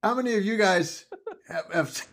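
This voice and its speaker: A man's voice: high-pitched, normal speed, creaky, a bit bright, a little hiss or hum. A man co-hosting a podcast in casual chat, joking and rambling, often laughing between lines. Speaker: podcast co-host.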